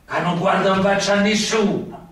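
An actor playing a bitter, remorseful old mob informant with a southern Italian accent, confessing in weighty, halting, theatrical phrases for radio theatre. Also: male voice, high-pitched, steady tone, fairly bright tone. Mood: bitter, heavy. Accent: southern Italian, Campanian. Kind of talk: theatrical radio drama acting. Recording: very echoey noisy room, distant from microphone.

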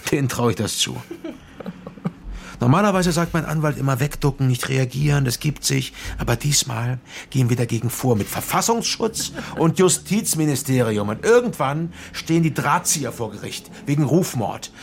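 An actor playing a hard-nosed middle-aged politician in a radio drama, stern, lecturing and condescending in a naturalistic way. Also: male voice, mid-range voice, expressive, normal speed, gravelly, a little hiss or hum. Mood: cold, threatening.

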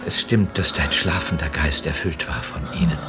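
An older, worried father in a radio play. His low voice is gentle and earnest, soothing as he speaks, over clearly audible music. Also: big pitch swings, normal speed, full character voice. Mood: soothing, insistent. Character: kindly, worried elderly father at sickbed. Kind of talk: radio drama acting.

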